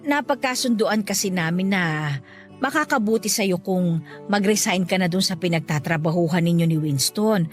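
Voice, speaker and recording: A woman playing a doting but insistent middle-aged mother-in-law in a radio drama, coaxing and expressive. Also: lowish voice, normal speed, natural voice. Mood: hesitant, coaxing. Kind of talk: Tagalog radio drama acting. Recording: music bed under the voice. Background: background music.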